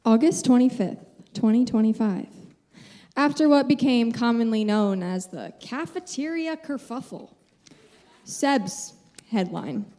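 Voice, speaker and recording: A woman narrating a scene in a student staged reading, rushing and stumbling slightly over her words. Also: a little high, lively intonation, warm tone. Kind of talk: singing a show tune and narrating.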